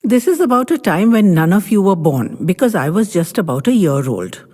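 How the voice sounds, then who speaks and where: A woman's voice: mid-range voice, sing-song, normal speed, mellow. A woman voicing a grandchild who tells the family a story with teasing, bossy confidence. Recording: long hall reverb.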